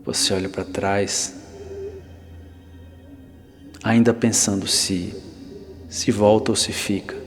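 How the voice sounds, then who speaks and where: A man narrating a guided ASMR journey slowly and softly, with pauses and near-whispered moments. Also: fairly low pitch, level tone. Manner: hushed, unhurried, with soft pauses, sometimes near whisper. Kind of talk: slow immersive ASMR guided narration, second person. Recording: long reverb over the voice. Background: music.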